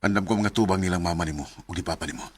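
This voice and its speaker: Deep-voiced young Cebuano man in love. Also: very fast, darkish tone, breathy edge. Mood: earnest, tender. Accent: Cebuano Filipino. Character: frustrated young man in love, later tender and dutiful. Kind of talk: radio drama acting.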